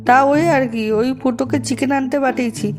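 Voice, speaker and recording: A man voicing a bossy, exasperated ringleader of a rowdy gang in an amateur comedy cartoon, crude and grumbling, slightly pitch-raised. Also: fairly even intonation, fairly quick, a little mellow, faint music behind.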